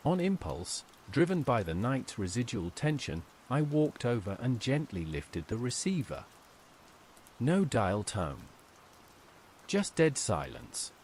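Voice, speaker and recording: Man narrating horror, expressive pitch swings. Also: slow pace, slight background noise. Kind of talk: scripted horror story narration.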